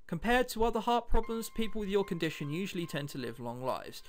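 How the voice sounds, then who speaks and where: A man's voice: high-pitched, big pitch swings, fairly quick. A man reading the lines of earnest, reassuring adult men in a visual novel. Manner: reassuring, explanatory. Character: earnest, placating adults: a father and a doctor.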